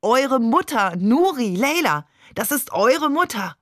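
A woman's voice: on the low side, animated, normal speed, fairly bright tone, no echo, clean studio sound. A woman voicing a proud, dramatic middle-aged father in a story, exuberant and easily embittered, speaking emphatically. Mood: overjoyed.

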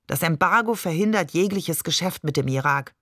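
A woman's voice: on the low side, expressive, moderate pace, no echo, clean studio sound. A woman voicing a proud, dramatic middle-aged father in a story, exuberant and easily embittered, speaking emphatically. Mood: bitter, defensive.

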